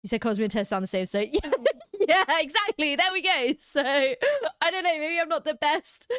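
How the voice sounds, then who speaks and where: British woman, streamer, chatty and giggly, bouncy pitch, fairly high voice, dry close mic. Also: very animated, normal speed, a bit bright, dry recording. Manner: giggly, chatty, reads comments aloud. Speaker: livestream host. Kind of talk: casual chat reacting to live comments.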